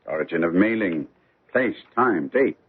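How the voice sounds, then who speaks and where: Gentleman sleuth, precise and theatrical, swooping intonation, radio mystery, narrow muffled sound. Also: low voice, expressive, unhurried, husky, heavily acted. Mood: thoughtful, dry. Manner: precise, formal, theatrical phrasing. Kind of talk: radio mystery drama acting.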